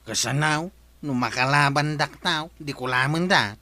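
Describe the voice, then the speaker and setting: A man's voice: on the high side, unhurried, sharp tone, no room sound. A man playing a sensible younger nephew who reasons earnestly with his uncle in a radio comedy. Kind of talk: radio comedy drama acting.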